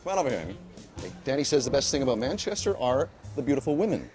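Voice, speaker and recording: A man's voice: mid-range voice, racing, faint music behind. A Canadian man co-hosting a travel show, interviewing locals and presenting sights in a friendly way. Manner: friendly presenter, expressive delivery.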